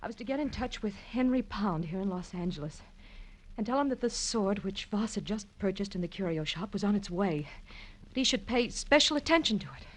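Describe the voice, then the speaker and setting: An actress playing a scheming, defensive femme fatale with a halting, dramatic delivery in a 1940s radio crime drama. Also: female voice, medium pitch, expressive, lightly acted, low-quality recording. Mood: anxious, confessing.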